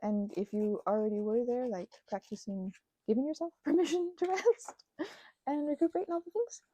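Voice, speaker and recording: A woman giving an unscripted ASMR-style craft ramble as host, halting and self-correcting in an earnest way, close to the mic. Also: on the high side, mellow, dry recording, low-quality recording.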